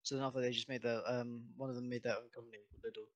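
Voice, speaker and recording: A man's voice: mid-range voice, level tone, fast talker, dry recording. A man chatting offhand as a co-host, hedging what he says. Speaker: co-host on a stream. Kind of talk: casual chat.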